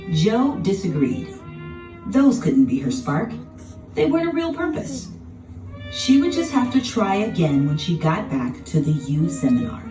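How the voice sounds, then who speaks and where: A woman narrating a children's storybook in an expressive, dramatic scripted style. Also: fairly high pitch. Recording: roomy, distant sound with music behind.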